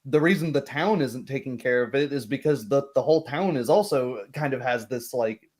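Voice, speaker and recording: A man's voice: mid-range voice, moderate pace, darkish tone. A man speaking as a game master, reflecting casually and hesitantly after a tabletop session. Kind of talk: casual post-game discussion.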